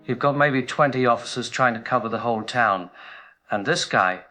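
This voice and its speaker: A man's voice: medium pitch, normal speed, sharp tone, faint music behind, lightly acted. A blunt, practical shopkeeper, played by a man, who calls in to speak his mind plainly. His voice sits in a middling range. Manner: blunt, practical, matter-of-fact. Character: practical male hardware store owner caller. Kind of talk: audio drama acting.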